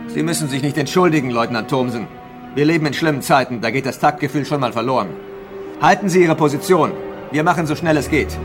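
A man acts a guarded, calculating island mayor with a somewhat high male voice. He is formal and measured, used to giving orders. Music plays clearly under the radio drama scene. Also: lightly acted. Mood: calmly reassuring. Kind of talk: German radio drama acting.

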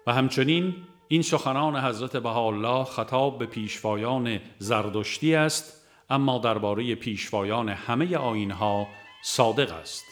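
Measured male audiobook narrator over plucked-string music. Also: medium pitch. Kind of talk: scripted religious audiobook narration.

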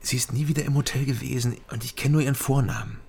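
A man's voice: medium pitch, steady tone, quick pace, a little mellow, breathy edge. A man playing a laconic, world-weary East German with a weakness for drink and love, in a radio crime drama with understated delivery. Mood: hesitant. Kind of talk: radio crime drama, dialogue and brooding narration.

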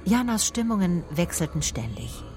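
A woman playing a composed private detective in a radio drama, reassuring and quietly persistent. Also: lowish voice, big pitch swings, normal speed, over music. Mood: reflective. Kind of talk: radio drama narration and dialogue.

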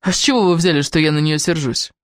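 A woman's voice: low voice, fast talker, dry recording, studio quality, close to an everyday voice. A woman voicing a seventeen-year-old girl student in an audiobook, candid and a little defiant. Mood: mock indignant. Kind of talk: audiobook character dialogue.

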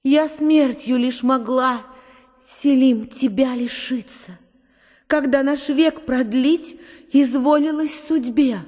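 A woman's voice: sits high, takes it slowly, darkish tone. An actress playing a tender, lovestruck young woman in a literary radio drama. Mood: tragic, declaiming. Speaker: narrator and actress in a radio play. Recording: studio radio play with faint music.

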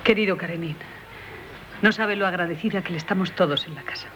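A woman's voice: on the low side, fast, a bit bright, slight background noise, natural voice. An actress in a 1970s radio drama playing an earnest young woman, emotional and easily troubled. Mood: relieved, tender.

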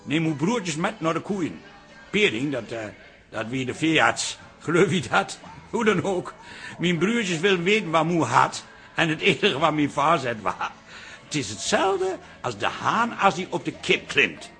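A man's voice: a little high, sing-song, unhurried, bright, forceful tone, soft background music, heavily acted. An actor playing a flustered, earnest middle-aged man raised on a farm, rambling in a radio comedy-drama. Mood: rambling storytelling.

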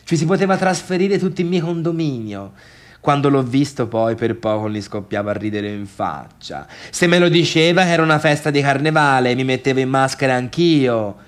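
An actor playing an agitated, mocking misfit in a colloquial, emphatic stage monologue, as if confiding in someone. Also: male voice, mid-range voice, expressive, normal speed, lightly acted. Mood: scornful, amused. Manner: conversational, emphatic, repeats himself, chuckling asides. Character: mocking, agitated man recalling a wild party, self-deluded misfit. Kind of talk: theatrical monologue in colloquial Italian.

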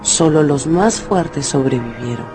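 A man's voice: fairly high pitch, lively intonation, a little slow, over music. A man narrating a radio novel in a scripted, dramatic storytelling style.

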